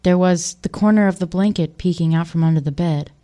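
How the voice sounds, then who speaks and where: A woman's voice: fairly low pitch, fairly quick, mellow. A woman giving scripted narration for an audio drama.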